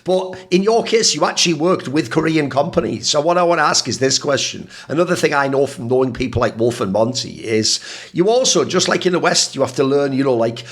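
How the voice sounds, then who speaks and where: A man hosting a casual interview, talking quickly and animatedly, swearing casually and calling his guest "bro". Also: on the high side, expressive. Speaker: interview host.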